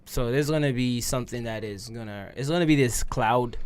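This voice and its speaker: A man of about thirty with a medium-pitched voice, chatting in a podcast group. He talks casually and often repeats a phrase to drive it home. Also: fairly quick, clean tone. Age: around 30. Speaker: podcast host.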